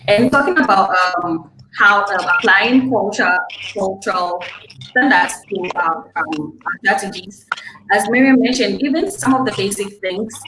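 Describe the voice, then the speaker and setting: A woman's voice: medium pitch, animated, measured pace. A woman from Ghana, a trainee behavior analyst, speaking thoughtfully on a panel. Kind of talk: panel discussion. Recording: echoey room with background noise.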